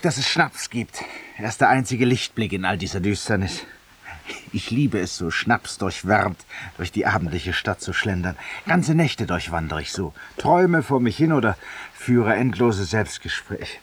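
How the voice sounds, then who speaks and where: An actor playing an urbane, talkative, self-mocking judge-penitent and tavern regular, with polished, ironic rhetoric in a 1950s German radio drama. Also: male voice, lowish voice, expressive, moderate pace, a little hiss or hum, lightly acted. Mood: brooding, wry. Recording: distant-sounding 1950s radio recording.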